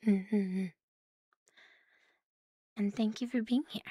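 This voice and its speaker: A woman with a medium-pitched, breathy voice does ASMR for a live stream. She takes her time, often dropping into a soft whisper close to the microphone. Her manner is playful and intimate, drifting between roleplay and casual rambling. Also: fairly even intonation, slow pace, no room sound. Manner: playful, giggly, intimate, lingering on repeated words.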